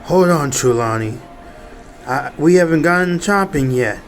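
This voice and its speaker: A man plays a skeptical ship's cook in a pirate audio drama. The voice sits a little high, fussy and practical, over faint background noise. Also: expressive, unhurried. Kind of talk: fan audio drama acting.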